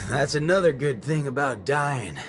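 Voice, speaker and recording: An actor voicing a brash, gruff cowboy gunslinger with a Western American drawl and folksy swagger in a video game. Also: male voice, mid-range voice, lively intonation, moderate pace, lightly acted. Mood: cool, threatening. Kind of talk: video game character acting. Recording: loud game music behind the voice.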